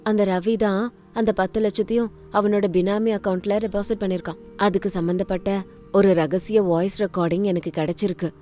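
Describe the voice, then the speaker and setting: A woman voicing a loyal, earnest female assistant who speaks in a reassuring, hushed manner in a story. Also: on the low side, lightly acted.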